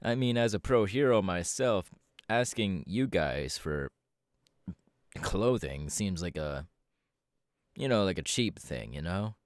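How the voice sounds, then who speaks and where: A man voicing a laid-back, cocky young winged superhero in a casual ASMR audio roleplay, talking slangily with hesitant pauses. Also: low voice, expressive, no room sound, clean studio sound. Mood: musing, easygoing.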